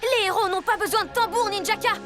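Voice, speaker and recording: Spirited kid superhero boy, high earnest cartoon voice, music playing under it. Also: quick pace. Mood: determined. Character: energetic young boy superhero, impulsive but determined. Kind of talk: animated children's series dubbing. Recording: music behind the voice.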